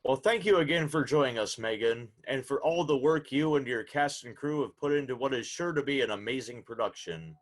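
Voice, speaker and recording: A man's voice: mid-range voice, a little fast, hardly any pauses. A man hosting a radio interview in a courteous, announcer-like way. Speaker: show host, interviewer.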